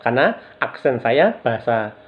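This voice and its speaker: A middle-aged man from Java with a Javanese accent, giving a recorded university lecture in a measured way, stretching key words and often addressing his students directly. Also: mid-range voice, sing-song. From Java, Indonesia. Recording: dull lo-fi recording.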